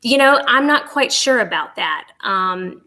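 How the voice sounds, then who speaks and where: Bright-voiced woman chatting casually. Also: fairly high pitch, lively intonation, a little slow. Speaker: podcast guest reviewing a resort. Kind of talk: casual conversational travel review.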